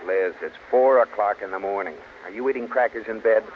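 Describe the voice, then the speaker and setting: A man's voice: lowish voice, brisk, natural voice. A man playing a long-suffering, dryly sarcastic husband in a 1940s radio sitcom. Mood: irritated. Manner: dry, sarcastic. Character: wry, long-suffering husband of a scatterbrained wife. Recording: noisy, muffled old radio recording.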